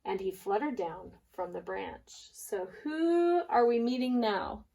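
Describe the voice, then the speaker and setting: A woman preschool teacher reading aloud and leading a lesson, deliberate and expressive for small children. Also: fairly high pitch, vocal fry, poor audio.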